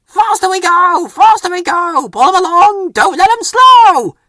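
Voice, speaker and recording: Shrill mischievous chanting railway trucks. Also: male voice, lively intonation, measured pace, bright, forceful tone, dry recording, clean studio sound, heavily acted. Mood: gleeful, taunting. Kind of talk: sing-song chant.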